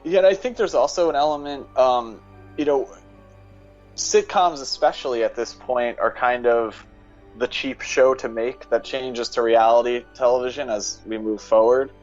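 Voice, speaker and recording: A man's voice: medium pitch, steady tone, moderate pace, faint music behind. Dull-sounding male podcast guest, casually analytical.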